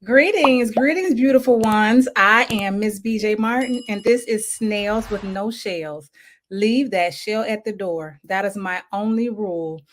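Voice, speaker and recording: A woman from Ohio who hosts a casual interview show and talks in an upbeat, lively, enthusiastic way. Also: medium pitch, a little slow. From Cincinnati, Ohio, USA. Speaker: show host.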